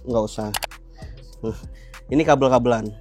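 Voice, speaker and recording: A man's voice: medium pitch, moderate pace, darkish tone, faint music behind. A young adult man with a Jakarta Indonesian accent hosting a casual gear tutorial, explaining in colloquial language and checking in with viewers. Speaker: motovlog host.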